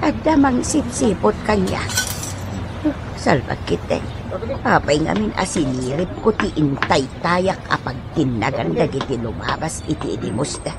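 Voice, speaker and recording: A woman's voice: deep voice, big pitch swings, normal speed, noisy background. A woman playing a poor, weary mother who begs at the roadside in an Ilocano radio drama, plaintive, with an Ilocano accent. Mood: grumbling.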